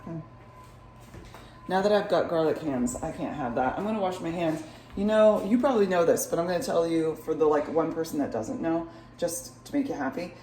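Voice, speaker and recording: A young woman with a husky morning voice, chatting playfully to viewers in a cook-along vlog from her kitchen. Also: sits low, fairly quick, mellow. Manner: bubbly and playful, talks to viewers while cooking. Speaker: cooking vlogger. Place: home kitchen. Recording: echoey kitchen.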